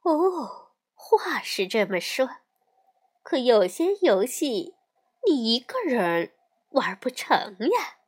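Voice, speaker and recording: A woman voicing a gentle mother bear who speaks calmly and softly. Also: a little high, takes it slowly, heavily acted. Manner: calm, soothing, soft.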